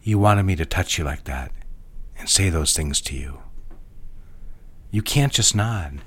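A man playing a husky, hushed, controlling lover. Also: deep voice, animated, heavily acted. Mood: pressing. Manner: slow, hushed, commanding. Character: controlling, possessive man, low and insistent. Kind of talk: audio drama acting.

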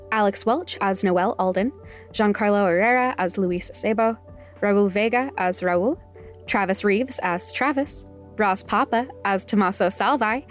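A woman reading scripted podcast credits and announcements as the show's creator. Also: medium pitch. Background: faint music.

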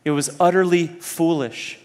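A man's voice: a little high, fairly even intonation, unhurried, darkish tone. A man preaching a sermon to students in a conversational, earnest way, often putting rhetorical questions to his listeners. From Zambia and Kenya. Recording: long hall reverb.

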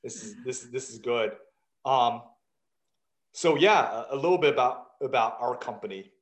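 A man's voice: medium pitch, moderate pace. A man in his thirties who grew up in South Africa, a startup founder telling his story in a casual, candid way, self-deprecating and full of quoted back-and-forth. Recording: roomy echo.

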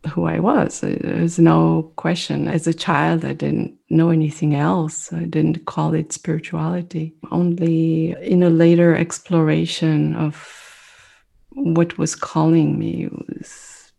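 A middle-aged woman whose first language is French, speaking as an interview guest. She talks in a thoughtful, reflective way, pausing often as she searches for words. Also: low-pitched, flat delivery, creaky, dark tone, breathy edge. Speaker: mindfulness meditation teacher, guest.

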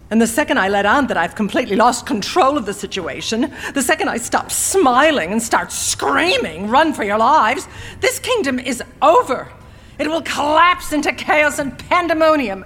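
A woman's voice: high voice, fairly bright tone, heavily acted. A woman playing a vain, breezy queen mother in an audio drama, haughty and theatrical, with airy dismissiveness.

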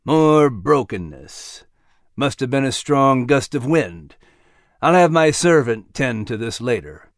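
Man playing a gentle, grave ancient scholar, dry sound. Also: medium pitch, very animated, dry recording. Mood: grumbling. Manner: measured, reflective.

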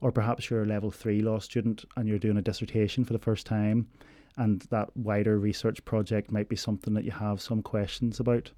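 A man explaining university learning support in a measured interview, pausing often on ums. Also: fairly low pitch, flat delivery, warm tone, no room sound. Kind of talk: informational interview answers.

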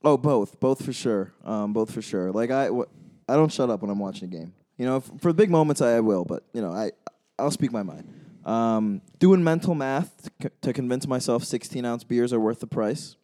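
A man's voice: mid-range voice, expressive, brisk, warm tone. A man co-hosting a casual sports podcast, talking in a rambling, self-deprecating way with many restarts. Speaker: podcast co-host.

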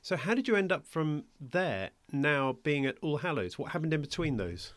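A man's voice: a little high, sing-song, moderate pace, fairly bright tone. Upbeat man hosting a podcast. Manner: upbeat, scripted intro. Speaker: podcast host.

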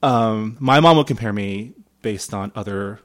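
A man's voice: fairly low pitch, a little slow. A young adult man whose first language is Mandarin, talking in a laid-back, hesitant way with many ums in a casual conversation.

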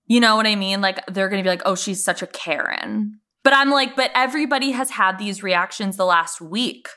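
A woman's voice: medium pitch, a little fast, pushed and bright. A woman co-hosting a podcast, talking informally and conversationally, with plenty of "like" and "you know". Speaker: podcast co-host. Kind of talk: casual TV recap discussion.

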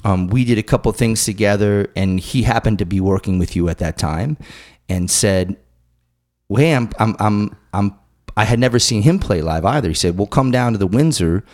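Host, male, middle-aged, low-voiced, rambling and profane, casual chat. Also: normal speed, a little mellow. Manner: loose, profane, rambling storyteller. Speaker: podcast host.

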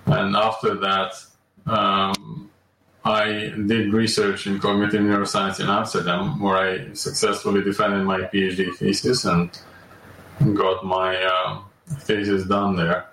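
A man talks slowly and evenly as a podcast guest. His low, flat voice keeps hesitating with ums and uhs. He sits well back from the mic in a roomy, echoey space. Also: a little hiss or hum. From Romania. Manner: measured and slow, many ums and uhs. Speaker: guest, alternative medicine practitioner. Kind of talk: podcast interview. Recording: echoey room, distant mic.

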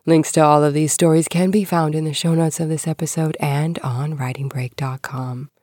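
A woman hosting a podcast, reading scripted news in a measured, clearly phrased way. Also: low voice, steady tone, mellow, no echo, studio quality. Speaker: podcast host.

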